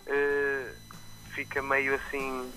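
A man with a Porto accent and some Brazilian expressions, a musician talking casually in a live radio interview, often pausing with fillers and restarting. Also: mid-range voice, faint music behind, poor audio. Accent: Porto Portuguese with some Brazilian expressions. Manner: relaxed, chatty, with frequent fillers and restarts. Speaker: musician guest. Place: remote from his own location, on live radio.

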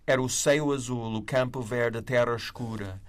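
A man who speaks with a non-native accent, reading verse slowly and measuredly, then talking casually. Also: mid-range voice, fairly even intonation. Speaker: writer and panel guest.

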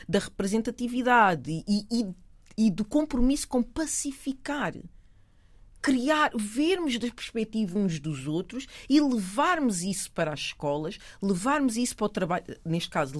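A middle-aged woman with a European Portuguese accent, chatting as a guest, animated, laughing often and repeating words while she searches for them. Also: a little high. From Portugal and Cape Verde. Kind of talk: casual conversation.

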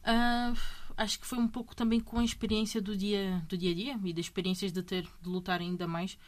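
A woman in her thirties from Brazil, speaking Portuguese with a blend of Brazilian and European accents. She chats easily and restarts her sentences often. Her pitch stays fairly flat and level. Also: brisk. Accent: Brazilian Portuguese mixed with European Portuguese. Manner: chatty, restarts sentences, tags with "entende?". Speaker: MMA fighter, guest. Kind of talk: casual interview conversation.

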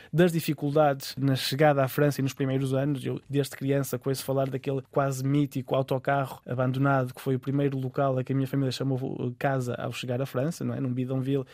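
A Portuguese man in his thirties speaks quickly on a level, even line, pausing on fillers and restarting words as he thinks. His voice is dark and mellow, recorded close and dry. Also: mid-range voice, dry recording. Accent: European Portuguese. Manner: thoughtful, with frequent hesitations and restarts. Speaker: author and interview guest. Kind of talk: radio interview answers.

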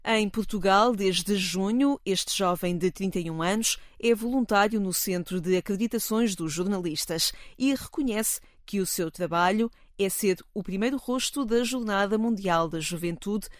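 Woman, news reporter, scripted voice-over, measured, mid pitch. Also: no echo, clean studio sound.

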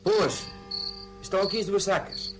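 An actor playing a bold, rough-spoken teenage thief in a 1970s radio drama, talking in a brash colloquial way. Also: male voice, sits high, fairly even intonation, normal speed, heavy background noise, close to an everyday voice. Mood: bold, conspiratorial.

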